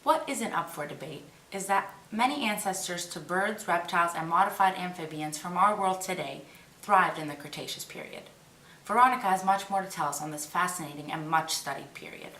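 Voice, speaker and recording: A woman narrates a scripted documentary. Her voice sits a little low. The sound is roomy and echoing, picked up at some distance from the microphone. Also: brisk, bright, forceful tone.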